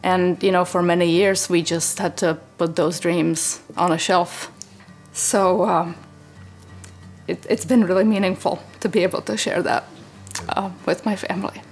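A woman's voice: lowish voice, steady tone, unhurried, husky, faint music behind. A woman in her forties from the Czech Republic, speaking earnestly and reflectively in an interview from a living room sofa. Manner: earnest and reflective, gesturing as she talks. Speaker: parent interviewee. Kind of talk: interview testimonial.

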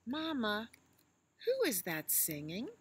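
A woman's voice: fairly high pitch, huge pitch swings, slow, a bit bright, slight background noise, voice pushed up, full character voice. A woman voicing a curious, eager little elephant child who asks questions in a children's storybook.

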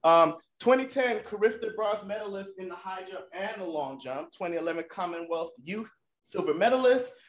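A man hosting a sports interview podcast, upbeat and joking as he reads out his guest's achievements. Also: high-pitched. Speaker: podcast host. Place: indoor room with brick wall.

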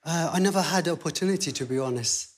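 A man, answering as a contestant in a humble, earnest way with many pauses. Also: on the high side. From Iraq. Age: 40s. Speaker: talent show contestant. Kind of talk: soft-spoken interview answers.

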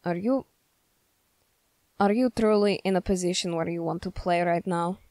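A woman voicing a stern, wary young male soldier in measured, formal, halting phrases for an ASMR roleplay. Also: lowish voice, darkish tone, no room sound, natural voice. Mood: gently stern. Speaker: roleplay voice performer. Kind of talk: ASMR character roleplay addressed to the listener.

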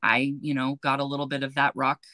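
A woman with a notably low, deep voice, talking in a chatty, animated way as she tells stories. The sound is dry and close, with no room echo. It comes through a webcam on a video call from an indoor closet. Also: a little fast. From United States. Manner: chatty storyteller, animated, gestures while talking. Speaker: beauty influencer, guest. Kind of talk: casual chat on a livestream. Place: walk-in closet with shelves, indoors.

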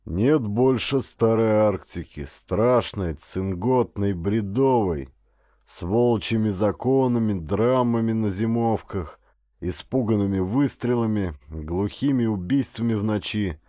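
A man's voice: fairly low pitch, unhurried, warm tone, no echo, clean studio sound, natural voice. A man voicing a stern, learned father in an audiobook, reading aloud in a grave, emphatic way. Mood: grave, impassioned.

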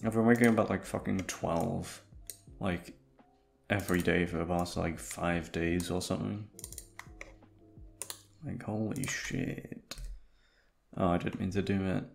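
A man with a deep voice, sleepy, rambling casually to his listeners in an offhand, hesitant, sweary way. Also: fairly even intonation, a little slow, creaky, pauses often, faint music behind. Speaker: audio creator talking to listeners.

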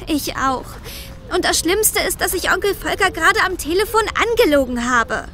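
A woman's voice: very high voice, animated, moderate pace, sharp tone, slight background noise, heavily acted. An actress playing a worried, earnest young girl footballer in a children's audio drama.

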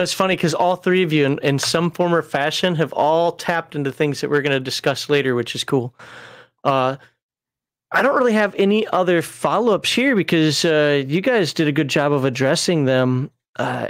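A man's voice: fairly high pitch, dry recording. Upbeat man hosting a livestream, dry mic. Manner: laughs often, reads chat messages aloud. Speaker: livestream host. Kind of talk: casual livestream chat.

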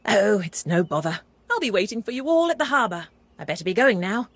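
A woman's voice: mid-range voice, very animated, fairly quick, a bit bright, husky, dry recording. A woman playing a hearty, friendly female otter ship captain in a children's cartoon game. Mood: cheerful, inviting.

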